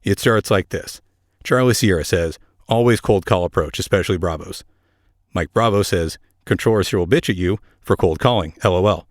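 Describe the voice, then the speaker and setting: A man's voice: sits low, moderate pace, no echo, studio quality. A man hosting a podcast, delivering scripted narration in a conversational way with wry asides. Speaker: podcast host; air traffic controller.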